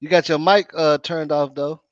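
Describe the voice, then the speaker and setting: A man's voice: fairly high pitch, steady tone, normal speed, no room sound, muffled recording. A man born in Arkansas with a Southern accent, hosting a casual live-stream chat and often repeating his words. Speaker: host.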